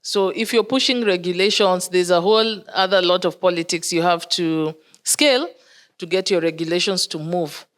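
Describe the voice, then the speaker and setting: A Kenyan woman speaking as a conference panelist, explaining in a measured way with some pauses and fillers. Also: fairly low pitch, steady tone. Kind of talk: panel discussion.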